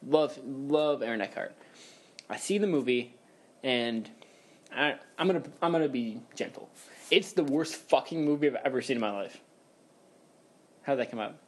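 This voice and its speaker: A man's voice: mid-range voice, animated, moderate pace, long pauses. A young man co-hosting a casual podcast, joking and rambling and laughing often as he talks. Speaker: podcast co-host.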